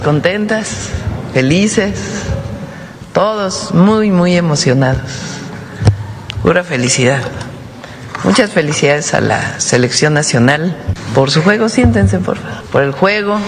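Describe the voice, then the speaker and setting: A man speaking at a press conference in a measured, folksy manner. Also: high voice, lively intonation, noisy background. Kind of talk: public address.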